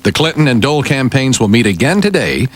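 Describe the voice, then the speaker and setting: A man's voice: medium pitch, big pitch swings, fast, noisy background. A man reading the news on a morning radio show, articulate and emphatic, with touches of banter. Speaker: radio newsreader and on-air sidekick.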